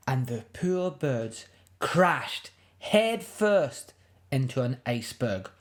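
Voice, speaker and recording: A woman reading a picture book aloud to young children in an expressive, dramatic way. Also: rumbling low, takes it slowly. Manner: expressive, dramatic, with emphatic sound words.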